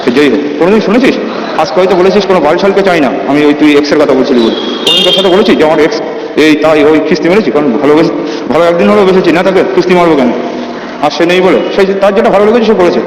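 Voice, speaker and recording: A high-voiced man talks fast and insistently, pressing his case point by point in a private call. The played-back recording is distant and echoey, with clear noise behind him. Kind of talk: private phone conversation, emotional argument.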